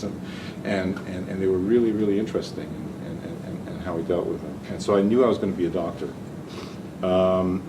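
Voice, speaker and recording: An older man from Hungary, a physician and researcher giving a talk, speaking hesitantly with many ums and restarts. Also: low voice. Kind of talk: lecture-style talk. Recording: distant mic with audible room noise.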